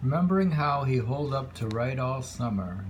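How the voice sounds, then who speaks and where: A man reading haiku aloud in a deliberate, musing way, pausing between poems and adding casual asides. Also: medium pitch, lively intonation, poor audio. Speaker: poetry reader. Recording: very audible background noise, homemade recording.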